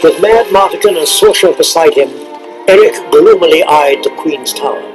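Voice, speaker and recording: A man giving dramatic scripted narration for a children's read-along cassette, over loud music and effects. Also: on the high side, moderate pace. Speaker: storybook narrator.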